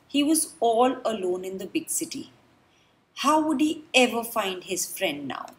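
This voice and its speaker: A woman reading a children's storybook aloud, expressive and dramatic, with deliberate pauses. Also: fairly high pitch. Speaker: children's book reader. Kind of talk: read-aloud storybook narration.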